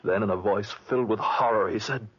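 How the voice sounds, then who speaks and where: An actor playing an anguished man haunted by killing his friend, with halting dramatic delivery in a 1940s radio drama. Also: fairly low pitch, slightly rough. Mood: haunted, horrified. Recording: muffled old 1940s radio recording.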